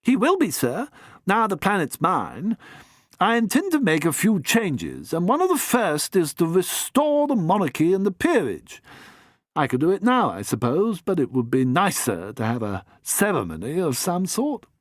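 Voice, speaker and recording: A man's voice: high-pitched, huge pitch swings, normal speed, no room sound, studio quality, pitched above the performer's own voice, exaggerated voice. A man doing a chirpy posh young gent. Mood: breezy. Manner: breezy, gushing, stammers when caught out. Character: jolly young upper-class man, eager and flustered.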